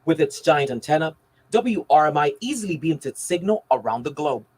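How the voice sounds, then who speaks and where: A man's voice: fairly high pitch, lively intonation, normal speed, a crowd in the background. An American man narrating a scripted television news report as the reporter.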